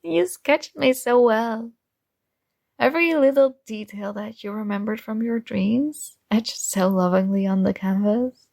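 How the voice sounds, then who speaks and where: A possessive young princess, sweetly controlling and teasing, as a woman plays her in an ASMR roleplay. Her voice sits a little high with a gravelly fry, and she takes her words very slowly. It is recorded close and dry. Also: dry recording, full character voice. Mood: coaxing. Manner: soft, slow, coaxing and teasing.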